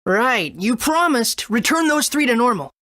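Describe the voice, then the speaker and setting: Earnest, high-voiced young boy hero. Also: sing-song, moderate pace, a bit bright, no room sound, studio quality. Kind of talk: video game character acting.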